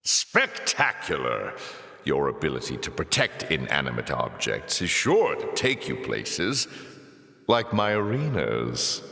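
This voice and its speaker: A suave demon tempter from a video game, deep and slow. His sardonic, grandiloquent lines rise and plunge theatrically, all mock politeness and sly menace. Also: gravelly, fairly bright tone, exaggerated voice. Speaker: arena master taunting the player. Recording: echoey hall reverb.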